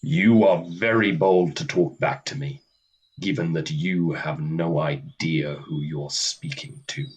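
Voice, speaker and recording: Man acting as Death, low, slow and husky. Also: heavily acted. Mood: curt, annoyed. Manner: deadpan, curt, formal, precise. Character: Death, weary, stern, gentlemanly and easily irritated. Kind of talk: radio play acting.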